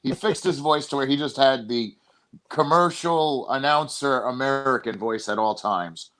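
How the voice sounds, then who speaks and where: A man's voice: mid-range voice, moderate pace, fairly bright tone, poor audio. A man hosts a casual podcast and rambles through long, loose tangents, swearing freely as he goes. His voice sits in the middle of the male range.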